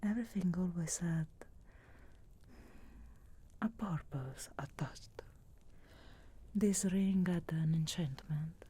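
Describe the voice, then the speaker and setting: A woman voicing a sarcastic, guardedly caring witch of the wilds in a slow, breathy whisper for an ASMR fantasy roleplay. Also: on the low side, warm tone, heavily acted. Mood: guarded, wry. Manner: slow, measured, archly formal phrasing.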